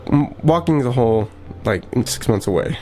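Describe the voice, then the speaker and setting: Brief: young adult male vlogger, middle-pitched, casual and rambling, small home office, faint guitar music behind. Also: expressive, fairly quick, a little mellow. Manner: rambling and upbeat, lots of fillers and restarts. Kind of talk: casual vlog studio tour.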